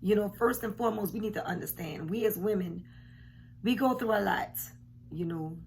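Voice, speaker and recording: A woman in her forties, a bit hoarse, giving frank, emphatic commentary from her home, repeating points for weight. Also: mid-range voice, moderate pace, slight background noise. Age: 40s. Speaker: commentary vlogger. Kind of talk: casual reaction commentary. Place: home room indoors. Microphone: camera at desk distance.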